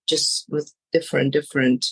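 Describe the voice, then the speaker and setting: A woman with a Ukrainian accent, a coach speaking in an interview, choosing her words slowly with many pauses. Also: deep voice, fairly even intonation, a bit bright, breathy edge, low-quality recording. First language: Ukrainian or Russian. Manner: slow and searching, pausing between words. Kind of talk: reflective interview answers.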